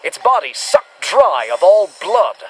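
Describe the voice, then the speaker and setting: Bombastic adventure hero, slow theatrical proclaiming, vintage radio serial style, faint background noise. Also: male voice, high voice, big pitch swings. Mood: intrigued. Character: bombastic, cowardly, self-important male monster hunter.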